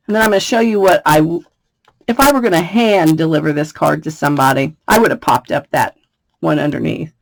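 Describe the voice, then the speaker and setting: A woman from the United States with a slightly raspy voice, chatting through a card-making tutorial and laughing between steps. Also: low-pitched, brisk, dry recording, low-quality recording. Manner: chatty, laughs often, thinks aloud. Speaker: craft demonstrator. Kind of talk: casual craft tutorial.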